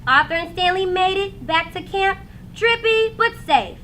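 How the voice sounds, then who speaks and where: A woman reads a children's story aloud in a high, bright voice. Her delivery swings widely and expressively, leaning into dramatic moments for young listeners. Faint noise sits behind her. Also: lively intonation, unhurried. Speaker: teacher reading aloud to students. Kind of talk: animated children's storybook narration.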